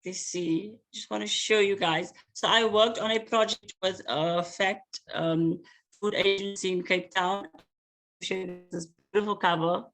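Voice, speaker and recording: Man reading poetry slowly. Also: high-pitched, steady tone, a bit bright, rough recording. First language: Afrikaans. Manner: slow, measured recitation with hesitant asides between pieces. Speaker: poet.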